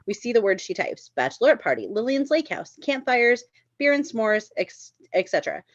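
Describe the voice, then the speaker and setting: A woman's voice: a little high, very animated, moderate pace, no room sound, highs cut off. A woman reading stage directions at a live script table read, informal and lightly amused.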